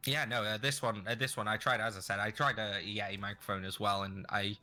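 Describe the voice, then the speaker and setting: A man's voice: fairly low pitch, fairly even intonation, fairly quick, pushed and bright. A man chatting as a podcast guest about streaming, rambling with frequent restarts. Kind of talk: casual interview chat.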